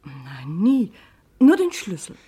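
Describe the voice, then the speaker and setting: A woman's voice: fairly low pitch, huge pitch swings, takes it slowly, warm tone, breathy edge, exaggerated voice. An actress playing a well-off lady of the house, composed and decisive with a fretful edge, in a German radio crime play. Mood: puzzled, thoughtful.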